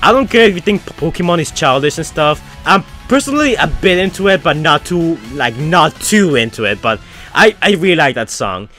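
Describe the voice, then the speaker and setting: Young male YouTuber with a foreign-sounding accent, chatty and rambling, lively pitch, soft music bed. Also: brisk. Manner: rambling, chatty, frequent fillers. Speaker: YouTuber answering fan questions. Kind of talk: casual Q&A chat.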